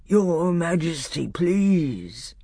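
Storybook bear, elderly male, played by a narrator: thick, drowsy, very slow, humble and earnest. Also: medium pitch, sing-song, dark tone, husky, no room sound, clean studio sound, pitched above the performer's own voice, full character voice. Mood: sleepy, earnest. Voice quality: thick, sleepy voice. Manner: slow, drawn-out, pleading politeness.

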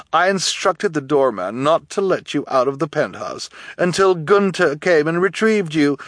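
A man acts out a cruel and vain woman from a storybook, pitched high. She gloats theatrically, drawing out her words with mocking relish and big swings in pitch. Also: gravelly, sharp tone, no echo, full character voice.

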